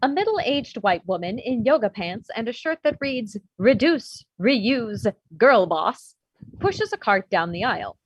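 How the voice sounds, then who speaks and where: A woman narrates screenplay directions for a comedy table read. Her voice is fairly high and husky with lively swings of pitch, and the audio is slightly muffled. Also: unhurried, no echo. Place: remote virtual table read. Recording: muffled video-call audio.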